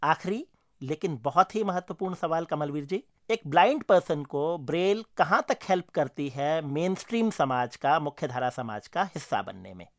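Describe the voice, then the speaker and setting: A man's voice: a little high, animated, moderate pace. A man hosting a radio interview, putting questions in a polite, formal way.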